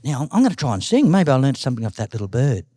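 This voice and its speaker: A man's voice: mid-range voice, sing-song, rapid-fire, mellow, husky, no room sound, studio quality. An Australian man playing a vain, hungry, gullible little snake in a told tale, colloquial and full of wonder. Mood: eager.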